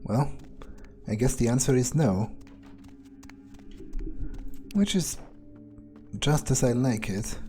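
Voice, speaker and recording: A man narrating a video game in character, thinking aloud in an understated, musing way with frequent pauses. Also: medium pitch, mellow, soft background music. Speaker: gamer playing in character.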